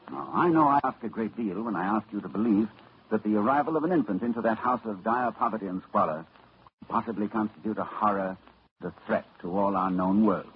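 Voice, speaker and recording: A man's voice: lowish voice, a little hiss or hum, lightly acted. An actor narrating as a learned, grave man in a 1940s-style radio horror drama, telling the tale dramatically. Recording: dull old radio broadcast with music.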